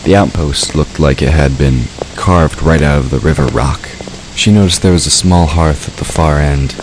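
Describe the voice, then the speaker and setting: A man's voice: a bass voice, normal speed. A man narrating a scripted story as the narrator of an audio drama. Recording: clear background ambient noise. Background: ambient sound effects.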